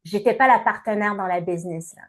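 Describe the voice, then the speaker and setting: A woman in her fifties from Montreal with a Québécois accent, answering interview questions in a measured way with many hesitations. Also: medium pitch. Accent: Québécois French. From Montreal, Canada. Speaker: guest, entrepreneur and coach.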